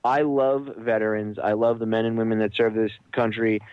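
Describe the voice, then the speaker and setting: A man's voice: on the low side, little pitch movement, moderate pace, clean tone. A man hosting a radio interview, talking conversationally in an earnest, opinionated manner. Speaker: radio show host, interviewer. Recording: dull, band-limited radio interview audio.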